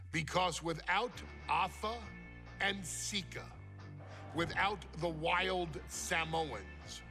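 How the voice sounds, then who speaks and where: A man delivering dramatic scripted narration in a grandiose, reverent manner with emphatic pauses, as the presenter of a showcase. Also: on the high side. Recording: music under the voice. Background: music.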